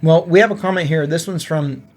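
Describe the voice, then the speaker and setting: Higher-voiced man in casual podcast chat. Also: fairly quick, a little mellow. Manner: informal, frequent "like", musing. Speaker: podcast co-host.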